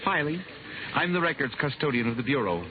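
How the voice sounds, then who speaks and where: A man's voice: mid-range voice, lively intonation, fairly quick, over music, lightly acted. An actor playing an earnest, timid male records clerk with hesitant, deferential speech in a 1950s radio drama. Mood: polite, earnest. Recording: muffled, noisy old radio broadcast.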